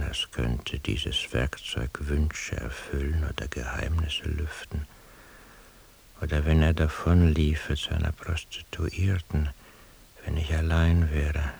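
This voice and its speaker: An actor playing a detached, dreamy man thinking to himself in a hushed, brooding literary radio monologue. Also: extremely low pitch, gravelly, heavily acted. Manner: hushed, slow, brooding, often sinking toward a whisper. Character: detached, dreamy man lost in his solitary inner thoughts. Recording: faint tape hiss.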